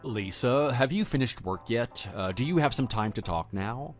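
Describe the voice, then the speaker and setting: Level-headed husband for audio drama, male actor: measured, skeptical, mid-pitched, faint music bed. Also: animated, close to an everyday voice. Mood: affectionate. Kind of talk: scripted texting-story radio drama.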